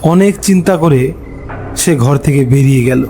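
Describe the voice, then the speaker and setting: A man narrating a scripted horror story in a dramatic, suspenseful way, pausing between short phrases. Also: mid-range voice, warm tone. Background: background music.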